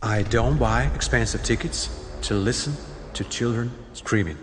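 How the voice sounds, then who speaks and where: A man's voice: on the low side, moderate pace, big-room sound, a little hiss or hum, lightly acted. A man playing a haughty, dismissive, wealthy man in an audio drama. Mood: haughty irritation. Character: arrogant, irritable wealthy man. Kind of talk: dramatised audio story dialogue.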